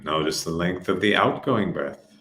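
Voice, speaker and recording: A man calmly leading a guided breathing meditation, giving soft-spoken instructions with long silences between them. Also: low voice, normal speed, echoey room.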